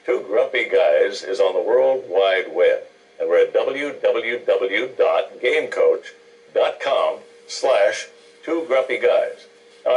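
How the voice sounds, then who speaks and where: Man in his sixties, low voice, casual TV cooking host, distant mic, old broadcast tape. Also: darkish tone. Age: 60s. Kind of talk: casual TV cooking banter and presenting. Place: TV studio kitchen.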